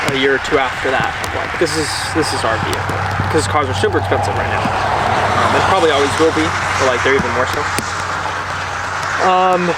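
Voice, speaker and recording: A young man vlogging in a relaxed chat, easygoing and rambling with lots of fillers. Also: on the high side. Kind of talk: casual chat. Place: outdoors beside a car in the rain. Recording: distant outdoor camera audio with rain noise. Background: rain and outdoor ambience.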